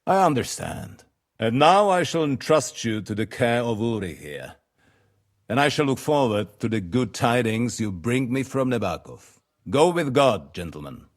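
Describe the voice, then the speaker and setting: An actor playing a courteous, dignified medieval lord in a video game, with a formal and measured manner. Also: male voice, mid-range voice, big pitch swings. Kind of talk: video game cutscene acting.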